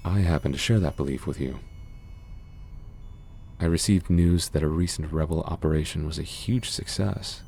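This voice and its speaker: Guy playing a shady cyberpunk doc for asmr, super gravelly soft voice, calm n reassuring, bit of background noise. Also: a bass voice. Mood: probing, guarded. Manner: gentle, measured, formal, reassuring. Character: mysterious, calm, guarded underworld cyberpunk doctor, man. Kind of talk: ASMR roleplay, soothing scripted monologue.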